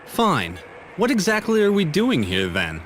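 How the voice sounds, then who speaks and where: A man acting a brash, impatient young video game adventurer with a sarcastic, informal and excitable way of talking. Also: sits high, a little slow, a little hiss or hum, exaggerated voice. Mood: wry, impatient. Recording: faint music behind the voice.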